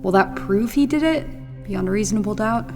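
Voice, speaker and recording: A woman playing a meek but probing captive in her twenties in an audio drama, soft-spoken and careful, with a creaky voice. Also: mid-range voice, animated, brisk, music in the background, close to an everyday voice. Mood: calm, probing. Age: 20s. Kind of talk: audio fiction acting.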